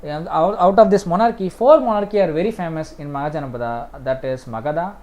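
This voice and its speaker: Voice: mid-range voice, quick pace, a little mellow. A teacher with an Indian accent lecturing through exam questions step by step, repeating points and often punctuating them with a short yes. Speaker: exam-prep history teacher.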